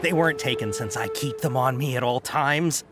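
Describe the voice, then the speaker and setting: An actor playing a fawning caravan merchant in a game, hesitant and full of filler sounds. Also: male voice, medium pitch, moderate pace, natural voice. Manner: hesitant, full of uhs, salesy. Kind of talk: game voice acting. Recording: game audio over faint music.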